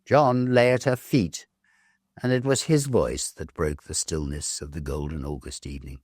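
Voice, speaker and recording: A man reading a Victorian story aloud, measured and expressive, with dramatic pauses. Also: a bass voice, husky, no echo, studio quality. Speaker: story reader, narrator. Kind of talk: dramatic literary narration of a Victorian ghost story.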